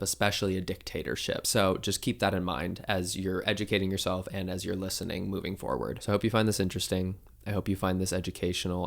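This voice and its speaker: Man, podcast host, deep voice, gravelly, earnest. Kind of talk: podcast interview.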